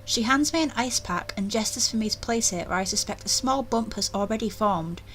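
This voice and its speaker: A woman's voice: a little high, a little fast, fairly bright tone. Woman narrating with faint background noise. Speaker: player reading a visual novel aloud. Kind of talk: expressive story narration with casual asides.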